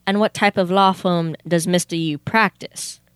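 Young woman playing a deadpan police detective, close dry sound. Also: fairly low pitch, moderate pace, clean tone, no echo, close to an everyday voice. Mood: businesslike. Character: male police detective, businesslike and increasingly exasperated. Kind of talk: comic old-time radio theater acting.

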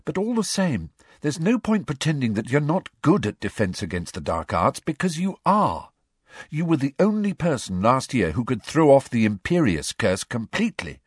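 Guy doing a bossy clever schoolgirl, higher voice, eager and pushy, bit husky. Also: very animated, moderate pace, dry recording, clean studio sound. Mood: earnest. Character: clever bossy teenage schoolgirl, earnest and persistent.

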